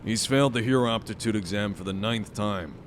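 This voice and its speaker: A man's voice: lowish voice, a little fast, slight background noise, close to an everyday voice. A man playing a reserved, formal, compassionate school official in an audio drama, speaking seriously. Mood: somber.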